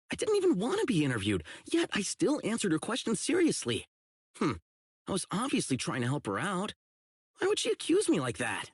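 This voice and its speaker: A man's voice: high-pitched, slightly rough, no room sound, studio quality, exaggerated voice. An actor playing a blunt, earnest young policeman who is socially oblivious, in video game voice lines. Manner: blunt, earnest, literal-minded. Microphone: close studio mic, isolated dry voice track.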